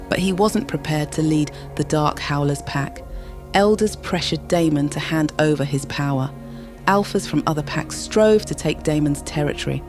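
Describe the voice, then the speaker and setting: A woman narrating an audio drama with dramatic, measured storytelling. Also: low voice, a little mellow. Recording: music bed under narration.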